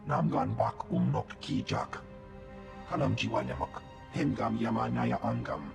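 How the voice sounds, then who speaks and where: A man voicing a gruff alien creature in a guttural invented tongue for a video game. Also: mid-range voice, fairly even intonation, measured pace, over music.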